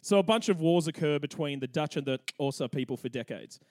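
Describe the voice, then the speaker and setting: A man's voice: fairly high pitch, lively intonation, brisk, darkish tone, dry recording, clean studio sound. A young man hosting a podcast, telling history in a cheeky, irreverent way and laughing often. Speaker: podcast host. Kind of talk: comedic history storytelling.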